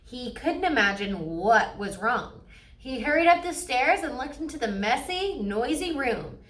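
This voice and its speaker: A woman reads a children's picture book aloud as a storyteller. Her delivery is expressive, her voice a bit high, and the room adds a clear echo. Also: a little slow, distant mic. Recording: echoey room.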